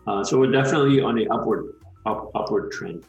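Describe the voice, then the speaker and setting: A man from Malaysia in his thirties chats easily as an interview guest, his fairly low voice filled with "you know" and "um". The sound has a roomy echo, and soft music sits behind him. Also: a little fast, gravelly, lo-fi sound. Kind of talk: casual interview.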